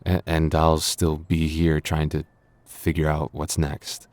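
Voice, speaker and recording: A man's voice: rumbling low, monotone, slow, darkish tone, no echo. A man playing a flustered, lovestruck young man in a boyfriend roleplay audio, stammering and bashful. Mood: wistful, anxious. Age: young adult. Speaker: voice actor.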